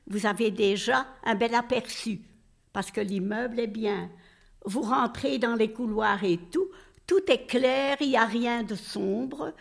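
An older woman from Belgium talks on and on in a rambling, chatty way, full of little fillers. Her voice sits a bit high and has a creaky edge. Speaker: nursing home resident, interviewee. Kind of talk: casual interview answers.

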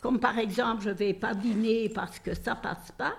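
A woman's voice: a little high, steady tone, moderate pace, creaky, slight background noise. An older woman from Belgium, a nursing home resident chatting at length with many fillers. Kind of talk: casual interview answers.

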